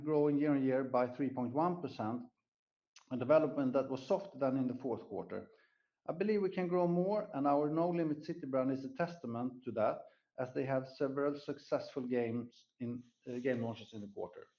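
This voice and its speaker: Male executive on a muffled conference call. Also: mid-range voice. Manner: formal, scripted delivery. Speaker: company executive presenting quarterly results. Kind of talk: prepared earnings call presentation. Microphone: conference call line.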